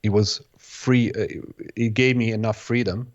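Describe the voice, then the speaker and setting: Guest: native Bosnian-speaking man, lowish voice, halting and reflective, on a dull lo-fi recording. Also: fairly even intonation. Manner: hesitant, restarts phrases, many "sort of" hedges. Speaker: guest, electronic music producer. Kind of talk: casual interview answers.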